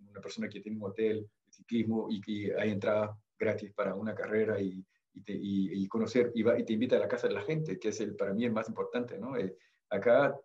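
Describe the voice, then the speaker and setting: A man's voice: fairly low pitch, a little mellow, muffled recording. A man with a Brazilian accent and Portuguese as his first language, telling stories casually as a podcast guest and often searching for words. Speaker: podcast guest, amateur triathlete. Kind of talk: casual conversational storytelling.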